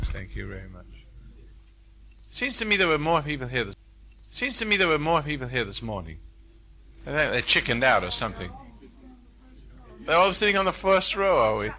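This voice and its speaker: A man's voice: mid-range voice, creaky, sharp tone. An older man speaking to a live seminar audience in a hotel room, folksy and preacherly, repeating phrases for emphasis.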